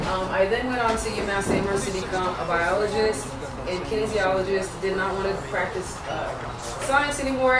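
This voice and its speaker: A woman from Haiti, a company CEO, giving an earnest, upbeat presentation at a community meeting with many ums. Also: mid-range voice. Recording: echoey room, distant camera, loud background noise.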